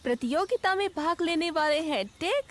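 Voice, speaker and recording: A woman voicing an animal race announcer in a children's cartoon, deliberate and proclaiming. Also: very high-pitched, lively intonation, a bit bright. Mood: announcing. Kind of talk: slow, declarative announcing.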